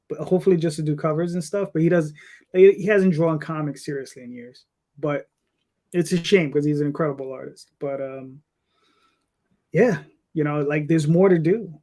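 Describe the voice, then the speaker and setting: A man's voice: a little high, moderate pace, warm tone. A man in his fifties talking casually as an interview guest about his creative work, in a rambling way. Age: 50s.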